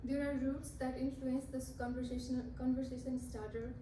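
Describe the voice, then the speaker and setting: A young woman whose first language is Nepali, giving a class presentation in a halting way, often restarting and correcting herself. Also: high-pitched, level tone, mellow. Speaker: student speaker. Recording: roomy, from a distance, with noise.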